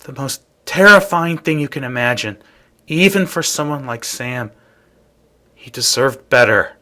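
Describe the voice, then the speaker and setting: A man's voice: mid-range voice, lively intonation, a little slow, bright, forceful tone. A man voicing a wry, matter-of-fact space adventurer in a video game. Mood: serious. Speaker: game voice actor.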